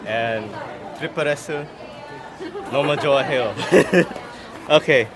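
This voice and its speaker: A man's voice: mid-range voice, racing. A young man from America hosting a casual vlog, chatting and laughing often and mixing in Korean phrases. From United States. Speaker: vlog host. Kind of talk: casual vlog chat and interviews. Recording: busy crowd and music behind.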